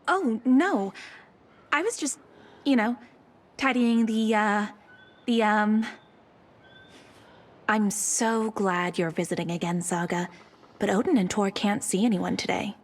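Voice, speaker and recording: An actress playing a friendly but flustered, evasive care home worker in a video game. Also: female voice, medium pitch, takes it slowly, long pauses. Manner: hesitant, evasive, politely chirpy. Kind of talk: cinematic horror video game acting.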